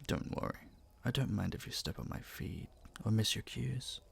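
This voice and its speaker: A man acting young crown prince, breathy and husky, low-pitched, teasing, affectionate, courtly, romantic audio roleplay. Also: moderate pace, full character voice. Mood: tender, wistful. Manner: teasing, soft-spoken courtly intimacy.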